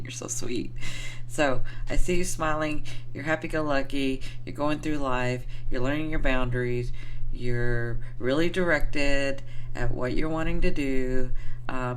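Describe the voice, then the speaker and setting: A hoarse woman who is getting over the flu, giving an affectionate, rambling tarot reading to her viewers with lots of fillers. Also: extremely low pitch, a little hiss or hum, low-quality recording. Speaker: tarot reader.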